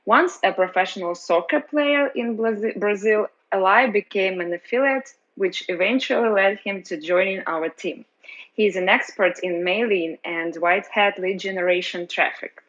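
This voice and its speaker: A woman host with a mid-range voice reads out the guest introductions in a measured, scripted way, stumbling now and then on an uh. The audio is dull and lo-fi, as over an online call. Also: mid-range voice, fairly bright tone. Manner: scripted and measured, with hesitant uhs. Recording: dull lo-fi audio chat line.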